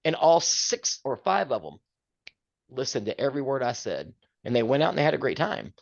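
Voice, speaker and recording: A man's voice: medium pitch, big pitch swings, fairly quick, gravelly, lo-fi sound. An older man with a big, carrying voice, co-hosting a casual chat and speaking emphatically.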